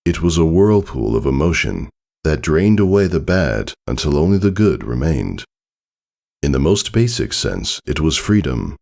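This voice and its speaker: A man's voice: rumbling low, very animated, moderate pace, vocal fry, a little mellow. A man reading a story aloud as narrator, in a scripted storybook style. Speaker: narrator, fan fiction reader.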